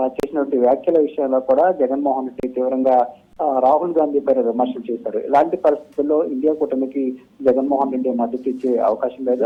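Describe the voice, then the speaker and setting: A male news correspondent, giving a halting, repetitive live report over a phone line. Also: medium pitch, breathy edge. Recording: dull, narrow phone-in line.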